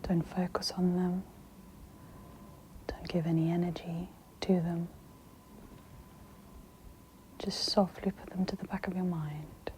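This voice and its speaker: A woman whispers softly and breathily as she gently guides an ASMR meditation in a soothing, instructive manner. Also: fairly low pitch, flat delivery, a little slow, slight background noise, rough recording.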